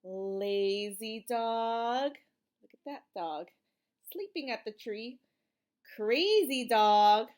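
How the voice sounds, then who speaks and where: Female children's read-aloud teacher, animated swoopy intonation, unhurried, encouraging question-and-answer delivery. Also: fairly high pitch. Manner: simple, encouraging prompts with questions and slow, emphatic reading. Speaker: teacher reading a picture book to young children.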